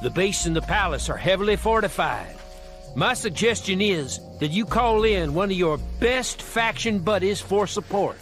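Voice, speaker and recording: A folksy, middle-aged American operative in a video game. His voice sits fairly high, with an American accent and breezy good cheer, over music. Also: expressive, normal speed, a bit bright. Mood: briefing, confident. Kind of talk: video game cutscene acting.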